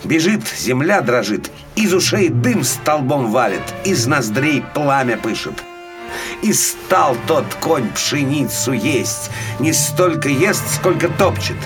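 A man telling a fairy tale for small children in an expressive, theatrical storytelling style. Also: mid-range voice, takes it slowly, music in the background.

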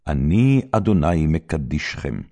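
Male scripture reader, very low pitch, dark mellow tone, solemn, deliberate phrases, animated rise and fall. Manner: measured, deliberate phrasing with pauses. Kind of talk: solemn scripted Bible reading.

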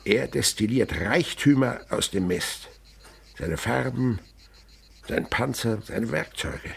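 Radio drama lead: impassioned insect-researcher father, gravelly and hugely animated, lecturing children lyrically. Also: mid-range voice, takes it slowly, a little hiss or hum, exaggerated voice. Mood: tender wonder. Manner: theatrical, rhapsodic, lecturing to children. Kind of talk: German radio drama acting.

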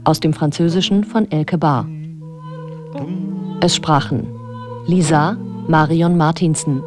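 A woman reads credits as a formal radio announcer. Her voice is fairly low and her delivery slow and measured, with music clearly playing behind it.

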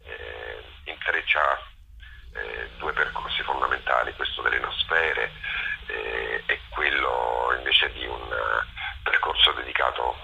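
Very deep, creaky man, hesitant and pausing. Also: fairly even intonation, bright, forceful tone, a little hiss or hum, low-quality recording. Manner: hesitant, pausing, searching for words. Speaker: theatre actor-director, interview guest. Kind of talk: thoughtful interview conversation.

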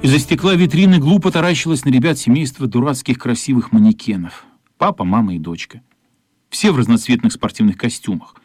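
A man's voice: medium pitch, fairly quick, darkish tone, husky, no room sound, soft background music. A man reading literary narration as the storyteller of a children's radio play.

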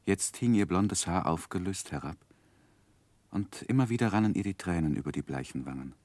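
An actor playing a grown man recalling his life, narrating a 1960s German radio drama in a measured, reflective, wistful way. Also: sits low, lively intonation, darkish tone, dry recording.

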